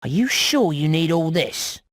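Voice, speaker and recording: A man's voice: on the high side, sing-song, measured pace, fairly bright tone, faint music behind. A man voicing a smug, slick rat who deals and haggles in a cartoon video game. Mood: sly, haggling. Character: wheeler-dealer male rat trader, smug and sly.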